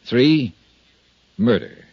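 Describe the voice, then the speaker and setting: Tough American investigator, matter-of-fact, lively intonation, old radio detective serial, muffled vintage sound. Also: male voice, mid-range voice, very slow, vocal fry, husky, no room sound, exaggerated voice. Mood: grave, insistent. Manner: clipped, matter-of-fact, probing. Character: hard-boiled, dogged male insurance investigator and narrator. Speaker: radio drama lead actor. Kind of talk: 1950s radio detective drama acting. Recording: dull vintage 1950s radio recording.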